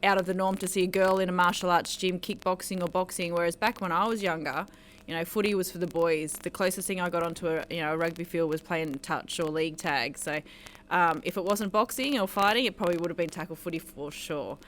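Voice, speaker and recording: Chatty Australian woman, low-pitched voice. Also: fairly even intonation, fast talker, fairly bright tone, slight background noise, poor audio. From Australia (New South Wales, raised in Queensland). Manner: chatty, rambling, many fillers, laughs easily. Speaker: guest, mixed martial arts fighter. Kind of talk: casual interview chat.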